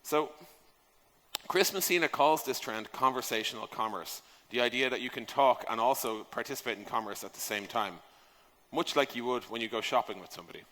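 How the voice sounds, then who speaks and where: A man's voice: medium pitch, brisk, fairly bright tone. A man giving an informal conference talk as a speaker, conversational and emphatic in delivery. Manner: emphatic, conversational, rhetorical questions, casual swearing. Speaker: conference speaker on software product design. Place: large conference hall, on stage. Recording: echoey hall, long reverb.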